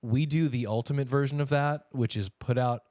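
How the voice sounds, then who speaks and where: A man's voice: medium pitch, fairly even intonation, moderate pace, darkish tone, dry recording, clean studio sound. A man co-hosting a podcast in casual, joking conversation, often acting out little imagined remarks. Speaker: podcast co-host. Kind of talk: casual conversational chat with a partner.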